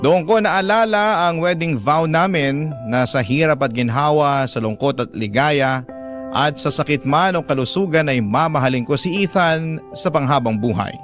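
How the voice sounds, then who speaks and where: High-pitched man narrating over music. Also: normal speed, fairly bright tone. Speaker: radio drama host and narrator. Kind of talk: scripted first-person story narration. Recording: music bed behind voice.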